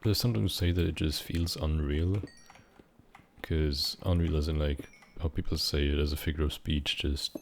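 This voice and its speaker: Very deep gravelly young man. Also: steady tone, a little hiss or hum. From Switzerland. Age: about 19. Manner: meandering, halting, casually swearing. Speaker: gaming vlogger. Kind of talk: unscripted rambling while playing a game.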